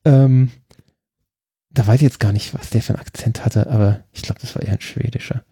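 Relaxed, husky German man chatting. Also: mid-range voice, fairly quick, no room sound, clean studio sound. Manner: chatty, hesitant, many fillers and laughs. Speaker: podcast co-host. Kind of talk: casual chat about films.

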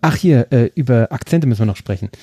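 A man's voice: medium pitch, expressive, fast, mellow, slightly rough, no echo. A man hosting a podcast in casual chat, talking with enthusiasm and laughing often. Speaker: podcast host.

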